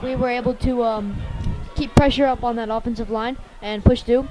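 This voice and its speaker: A woman giving live color commentary on a football game, halting as she searches for words. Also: on the high side, fairly even intonation, music in the background, poor audio.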